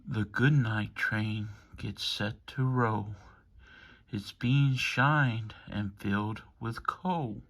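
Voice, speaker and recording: A man reading a children's bedtime story aloud in measured, rhythmic rhyme. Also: fairly low pitch, creaky, a bit bright. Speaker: storybook reader.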